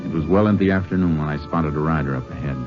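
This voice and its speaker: An actor playing a laconic, shrewd Texas Ranger in an old-time radio western, with an American accent and terse, folksy cowboy talk. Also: male voice, a bass voice, racing. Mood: terse, determined. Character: laconic Texas Ranger, shrewd, steady lawman posing as drifter. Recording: muffled vintage radio recording, music behind.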